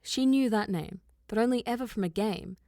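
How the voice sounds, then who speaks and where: A woman's voice: fairly high pitch, normal speed, mellow, dry recording, studio quality. A woman reading scripted fiction as an audiobook narrator.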